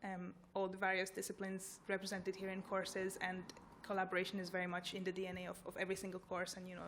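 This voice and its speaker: A woman's voice: mid-range voice, monotone, quick pace, fairly bright tone, slight background noise. A woman from Hungary giving evidence at a committee hearing, earnest and full of "you know" fillers. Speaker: committee witness.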